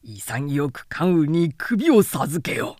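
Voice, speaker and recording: Mighty ancient Chinese general, played by a woman narrator: proud, commanding, very deliberate, drawn-out storybook declamation. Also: low-pitched, sing-song, a little mellow, no echo, studio quality, voice pushed down, full character voice. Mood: challenging. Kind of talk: dramatic storybook character reading.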